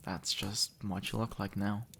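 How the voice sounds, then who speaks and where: A man plays an easygoing demon, centuries old and once human, in an intimate ASMR roleplay. His voice sits low with a heavy creak, and he takes his time. He talks casually and gently, reassuring with a teasing streak. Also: flat delivery, a little slow, a little hiss or hum, exaggerated voice. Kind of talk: intimate ASMR audio roleplay, addressed to the listener.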